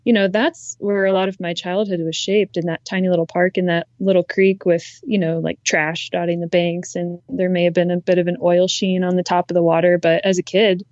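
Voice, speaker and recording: A young woman from Ohio, a conservationist, talking in a relaxed, reflective way as a guest in an interview. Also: on the low side, fairly quick, few pauses, dry recording, lo-fi sound. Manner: relaxed, reflective, frequent ums. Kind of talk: casual interview conversation.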